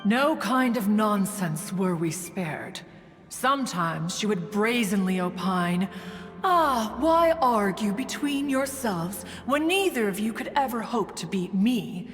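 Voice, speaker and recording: Proud immortal game character, super formal and old-timey, roomy reverb, music behind. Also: female voice, mid-range voice, expressive, slow pace. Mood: fond reminiscence. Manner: formal, archaic, refers to self as "one". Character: proud immortal female adeptus, stately, haughty and nostalgic. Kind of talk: scripted game dialogue, storytelling.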